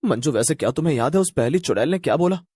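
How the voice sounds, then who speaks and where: A man's voice: a little high, lively intonation, very fast, mellow, breathy edge, no room sound. A man playing a worried young husband in a cartoon story, talking earnestly. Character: worried young husband working at a pizza shop. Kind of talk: animated cartoon story voice acting.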